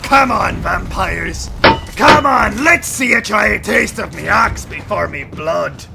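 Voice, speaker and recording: A man playing a brash, foul-mouthed axe warrior in a fantasy audio drama, rough and gravelly. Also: a little high, lively intonation, slow pace, exaggerated voice. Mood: battle fury. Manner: rough, taunting, blustering. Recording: loud music bed underneath.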